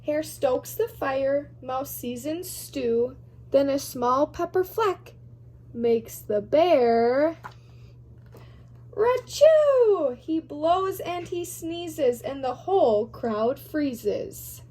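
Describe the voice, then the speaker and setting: A woman's voice: high voice, expressive, drawn-out words, mellow. A woman reading a picture storybook aloud for young children.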